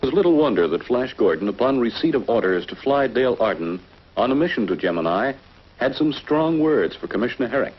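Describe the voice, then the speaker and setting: A man narrating a 1950s science fiction TV drama in a dramatic, measured announcer style. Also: medium pitch, expressive, breathy edge. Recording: dull lo-fi 1950s TV audio with music.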